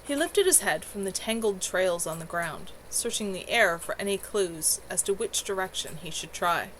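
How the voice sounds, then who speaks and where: A woman's voice: medium pitch, animated, moderate pace, fairly bright tone, slight background noise, poor audio. A woman reading her own novel aloud on a livestream from home, in an unpolished amateur delivery. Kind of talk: live novel reading with casual asides.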